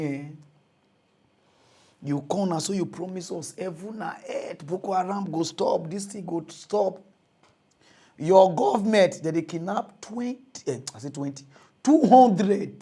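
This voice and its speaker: A young Nigerian man hosting a mock news comedy show, ranting in a mocking, animated way and laughing often. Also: sits high, slightly rough. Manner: animated, mocking, laughs often, switches to loud excited outbursts. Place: studio with green screen.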